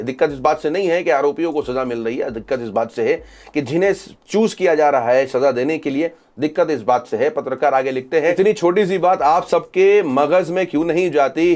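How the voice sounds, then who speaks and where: Guy hosting online news commentary, voice a bit high, reading out reactions. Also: darkish tone. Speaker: host of a social media news channel.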